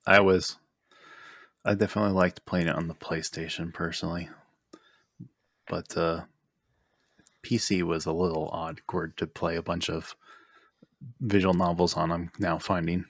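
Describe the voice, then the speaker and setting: A man's voice: deep voice, level tone, moderate pace, gravelly, pauses often, fairly bright tone, no echo, studio quality. A man co-hosting a gaming podcast, chatting casually about what he has played. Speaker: podcast co-host.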